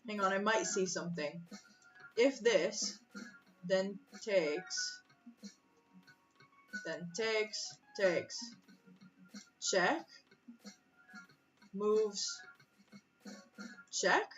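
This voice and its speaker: A woman's voice: mid-range voice, drawn-out words, pauses often. A woman whose first language is Russian, chatting casually and thinking aloud while solving chess puzzles, often interrupting herself and laughing. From former USSR. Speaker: chess streamer. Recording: slightly distant, muffled mic with faint music. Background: faint music.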